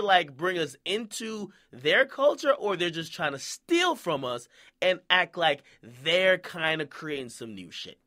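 A man's voice: on the high side, sharp tone. A man from Jamaica hosting casual commentary, who keeps checking in with "okay?" and "right?" and acts out quoted voices. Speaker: commentary host.

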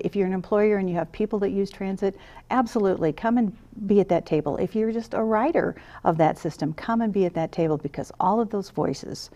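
A woman's voice: lowish voice, mellow, highs cut off. A woman in a studio interview, speaking earnestly as a guest on a lapel mic, with frequent restarts and fillers. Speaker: interview guest, council director. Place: small TV studio set, seated.

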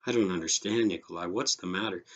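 A man voicing a puzzled, troubled mother who questions quietly, in a storybook reading. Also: deep voice, quick pace.

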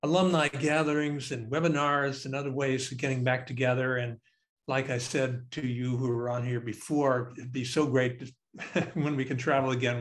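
An older man leads an online panel from a study at home. He talks in a loose, easygoing way, with small laughs between his remarks. His pitch sits in the middle range. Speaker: panel moderator. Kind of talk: webinar moderating. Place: home study, on a video call. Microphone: video call.

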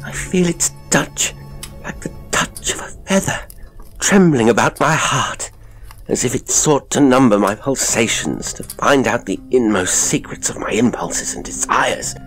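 A man voicing a tormented, haunted gentleman in an audiobook, with a strained, feverish, exclamatory delivery. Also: a little slow, soft background music. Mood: anguished dread. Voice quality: high, keen, strained when excited. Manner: feverish, exclamatory, repeating himself. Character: frantic, tormented gentleman, haunted and near breakdown.

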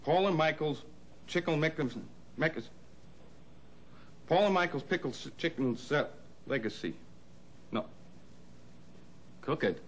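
A man's voice: on the high side, lively intonation, unhurried, pauses often, a little hiss or hum. A man playing a mischievous kitchen helper who juggles and cheekily refuses. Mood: cheeky.

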